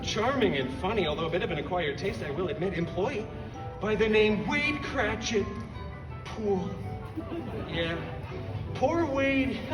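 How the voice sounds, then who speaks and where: Sarcastic masked merc, high bouncy voice, echoey outdoor stage from far off, music going. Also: male voice. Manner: sarcastic, animated, fourth-wall jokes. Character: wisecracking masked male mercenary storyteller. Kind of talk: live theme-park comedy show. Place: outdoor theme-park stage.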